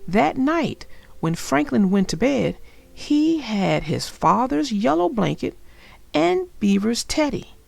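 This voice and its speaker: A woman narrating a children's story aloud in an expressive storytelling manner. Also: a little high, takes it slowly, creaky.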